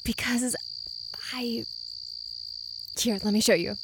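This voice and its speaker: A woman playing a wary, secretive figure in a horror audio drama, speaking in a hushed, halting way. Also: fairly high pitch. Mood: wary, urgent. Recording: noisy, with insect and cricket ambience. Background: crickets and insects.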